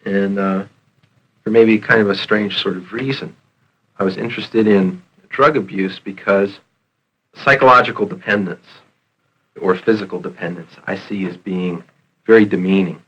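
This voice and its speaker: Male scientist interviewee, lowish husky voice, emphatic explanatory delivery. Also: steady tone, normal speed, fairly bright tone, dull audio. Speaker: research scientist.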